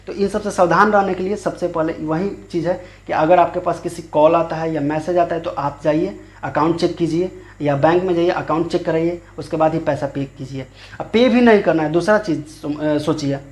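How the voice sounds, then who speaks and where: A man, explaining in an informal, repetitive tutorial style as a video host. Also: fairly high pitch. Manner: informal, repetitive, rephrases often. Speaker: YouTube channel host. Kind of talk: explanatory scam-awareness tutorial.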